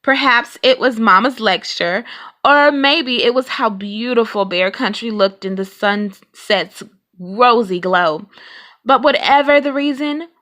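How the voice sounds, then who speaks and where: Bright-voiced woman reading a children's story. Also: on the high side, measured pace. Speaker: storybook reader. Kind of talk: expressive children's read-aloud narration.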